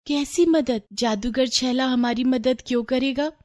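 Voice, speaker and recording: Woman voicing an excitable high-pitched schoolgirl. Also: unhurried. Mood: excited. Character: scheming, excitable young schoolgirl.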